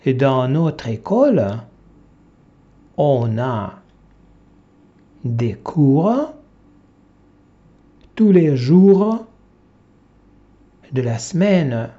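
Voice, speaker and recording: A man teaching a language, reading a textbook dialogue aloud one short phrase at a time, with long pauses for learners to repeat. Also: medium pitch, lively intonation, mellow. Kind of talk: slow dictation-style reading for repetition. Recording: dull lo-fi classroom recording.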